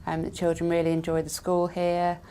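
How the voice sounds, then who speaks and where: A woman born in Ireland and raised in Kent, a working mother who often pauses on ums. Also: on the low side, monotone, dark tone, smooth.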